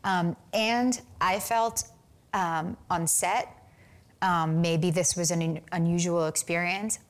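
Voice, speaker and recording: A young American woman answering interview questions thoughtfully, with many pauses, fillers and restarts. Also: on the low side, steady tone. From United States. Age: young adult. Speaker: film director, interview guest.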